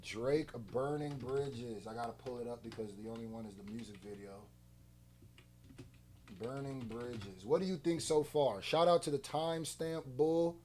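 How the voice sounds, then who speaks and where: A man hosts a casual music reaction in a medium-pitched voice. He talks in blunt, animated slang and throws out rhetorical questions as he comments. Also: normal speed.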